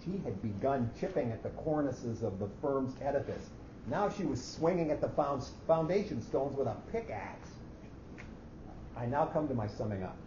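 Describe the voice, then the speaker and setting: A fussy male office clerk played by a man narrates his murderous scheme in precise, formal phrases, as in a staged reading. The sound is dull and echoey, with clear background noise. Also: medium pitch, expressive, moderate pace, natural voice. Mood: aggrieved. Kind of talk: staged dramatic reading of a short story. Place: echoey hall at a live reading. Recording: muffled, noisy, echoey hall recording.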